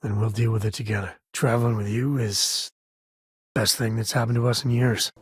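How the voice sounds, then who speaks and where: An actor playing an easygoing, middle-aged space cowboy and devoted father in a video game, with a husky, rough-edged voice and folksy, dryly humorous phrasing. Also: medium pitch, moderate pace, dry recording. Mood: tender. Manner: folksy, relaxed phrasing with dry humour. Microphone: close dry studio mic.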